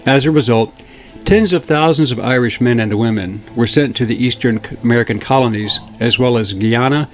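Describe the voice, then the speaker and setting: A man narrating a historical documentary in a measured, steady reading. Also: medium pitch. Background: faint background music.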